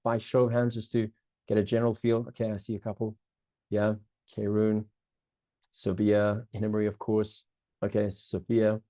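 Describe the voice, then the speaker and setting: Mellow-voiced South African man presenting. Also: lowish voice, completely flat delivery, moderate pace, no room sound. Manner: upbeat, chatty, many restarts, keeps checking in with the audience. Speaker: business coach hosting a live webinar. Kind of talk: live online masterclass presentation.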